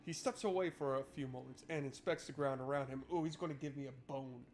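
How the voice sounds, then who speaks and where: A man's voice: medium pitch, quick pace, darkish tone, a little hiss or hum. A man streaming a game, switching between reading narration aloud and casual, irreverent, sweary joking commentary.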